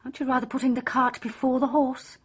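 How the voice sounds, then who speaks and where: Breathy, forthright young woman. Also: high-pitched, little pitch movement, quick pace. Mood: uneasy, direct. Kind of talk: radio drama acting.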